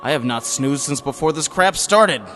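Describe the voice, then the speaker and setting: A man's voice: on the high side, lively intonation, normal speed, close to an everyday voice. A man playing a grumpy, reluctant monster-hunting hero in a comic audio drama, sarcastic and exasperated. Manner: exasperated, stilted heroic phrasing with slangy gripes. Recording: music behind the voice.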